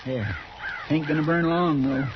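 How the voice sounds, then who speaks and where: A man's voice: fairly high pitch, a little slow, close to an everyday voice. An American man playing a folksy, deferential frontier sidekick with a rural Western drawl in a radio western. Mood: puzzled. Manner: plain-spoken, folksy, deferential. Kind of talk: 1950s radio western acting. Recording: dull, noisy 1950s radio recording.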